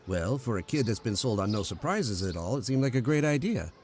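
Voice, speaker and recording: A man's voice: medium pitch, expressive, a little fast, a little mellow, a little hiss or hum, close to an everyday voice. An actor playing a breezy, blunt, wisecracking man in an old-time radio drama. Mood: playful.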